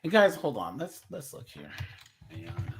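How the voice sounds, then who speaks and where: A man's voice: a little high, huge pitch swings, normal speed, vocal fry, a bit bright, a little hiss or hum. A man hosting a casual livestream reaction, chatty and rambling as he laughs along. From United States.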